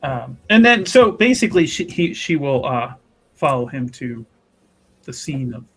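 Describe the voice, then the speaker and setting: A man's voice: mid-range voice, very animated, measured pace, fairly bright tone, breathy edge. A man improvising narration of his character's actions in a tabletop roleplaying game, hesitant and wordy with flowery phrasing.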